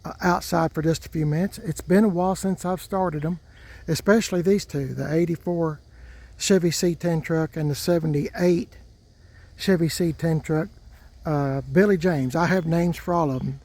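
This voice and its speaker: An older man talks in a slow, folksy ramble and often repeats himself. His voice has a warm, mellow tone and sits a little high for a man. He speaks casually outdoors as the host of his own vlog. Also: steady tone. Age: 60s. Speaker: truck channel host. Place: outdoors by parked trucks.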